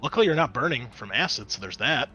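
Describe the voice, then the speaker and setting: A man acting as game master, narrating a tabletop roleplaying game in an animated, exclamatory way with casual swearing. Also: mid-range voice, quick pace, highs cut off, faint music behind.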